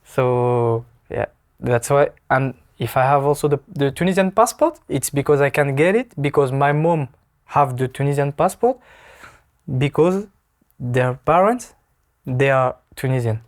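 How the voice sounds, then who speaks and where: A young man in his twenties with a French accent, answering interview questions in halting English, searching for words and laughing easily. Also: medium pitch, expressive. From France. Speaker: footballer. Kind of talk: casual interview.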